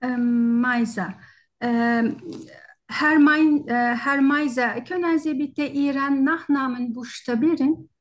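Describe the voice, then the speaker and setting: A woman's voice: sits high, fairly even intonation, takes it slowly. A non-native German-speaking woman with a foreign accent, hesitantly and politely conducting a formal medical interview as a doctor, often searching for words. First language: not German. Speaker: doctor taking a patient history in exam practice. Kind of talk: halting structured medical interview in role-play.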